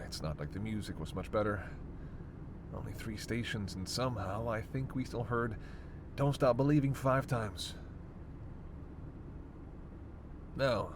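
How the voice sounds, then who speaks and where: A man's voice: medium pitch, background noise, lightly acted. A man playing a sarcastic, teasing immortal vampire passenger in a roleplay audio drama, needling and foul-mouthed with theatrical exasperation. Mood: dry, mocking.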